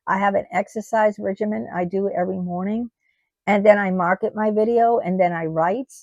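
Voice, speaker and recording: A middle-aged woman from the United States talking in a personal vlog, halting with many ums and restarts, her voice tired and starting to go. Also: medium pitch, a little mellow, dry recording, highs cut off. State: losing her voice. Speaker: vlogger.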